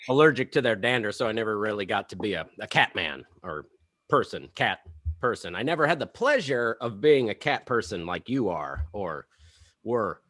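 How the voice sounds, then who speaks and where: A man's voice: fairly low pitch, a bit bright, no room sound. A man playing an awkward, apologetic and tactless office worker in a comedy table read, hesitant and rambling. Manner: hesitant, rambling, nervous chuckles.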